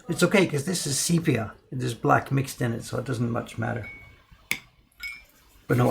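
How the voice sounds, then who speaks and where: Gravelly man chatting while he works. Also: medium pitch, a little hiss or hum. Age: older. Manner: chatty, answers viewer questions while printing. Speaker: woodblock printmaker streaming his work. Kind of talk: casual live-stream chat while working.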